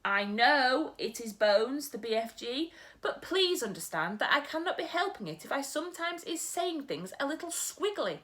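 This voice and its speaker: A huge, kindly old giant with muddled, mangled grammar, booming out his words in a lively, swooping voice, as a woman reads him aloud. He sounds pitched up and slowed, with big exclamations, like a character from a storybook. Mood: earnest.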